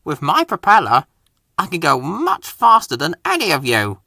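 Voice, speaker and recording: Boastful male helicopter voiced by a male reader, self-satisfied, hugely lively intonation, storybook. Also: on the high side, normal speed, gravelly, no echo, clean studio sound, heavily acted. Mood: smug. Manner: smug, boasting.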